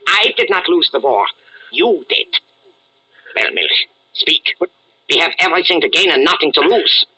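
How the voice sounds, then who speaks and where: An actor playing a megalomaniac German Nazi villain, menacing and grandiose, with a German accent in 1940s radio style. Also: male voice, a little high, big pitch swings, normal speed, sharp tone, breathy edge, full character voice, low-quality recording. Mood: commanding.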